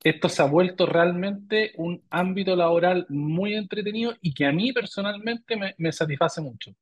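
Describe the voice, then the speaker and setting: A man's voice: high-pitched, moderate pace, lo-fi sound. A middle-aged Chilean man with a Chilean Spanish accent, answering interview questions thoughtfully and often repeating words as he searches for phrasing. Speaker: guest.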